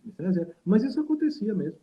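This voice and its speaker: A man's voice: high voice, very animated, racing. A man from Salvador, a guest saxophonist and teacher telling stories in a rambling, reflective way with many fillers over a video call. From Salvador, Brazil. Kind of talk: casual interview storytelling. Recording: tinny call audio.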